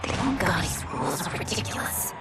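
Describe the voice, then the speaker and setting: Defiant game princess, bossy, animated voice, big music behind her. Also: fairly low pitch, a little fast, recorded from a distance. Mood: indignant. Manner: commanding, assertive. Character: defiant young warrior princess, headstrong heir to a throne. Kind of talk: cinematic video game acting.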